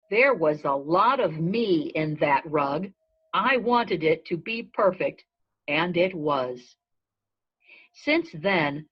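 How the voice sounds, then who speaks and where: A woman narrates a children's storybook at a very unhurried pace. Her voice is rough-edged and husky, with deliberate phrasing. The recording sounds dull and lo-fi. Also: on the low side. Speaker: storybook reader. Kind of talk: read-aloud narration of a children's story in a goat's first person.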